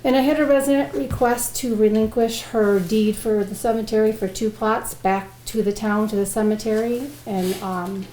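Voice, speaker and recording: A woman's voice: medium pitch, fairly even intonation, moderate pace, few pauses, warm tone. A woman giving a formal town clerk's report at a meeting. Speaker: town clerk and tax collector. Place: indoor public meeting room. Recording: echoey meeting room, faint noise.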